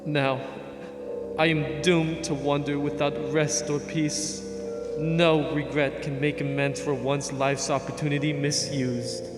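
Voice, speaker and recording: An actor in a radio drama playing a mournful, chained ghost who gives solemn, ominous warnings in drawn-out declamation. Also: male voice, a little high, little pitch movement, natural voice. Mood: anguished. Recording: cavernous long reverb under music.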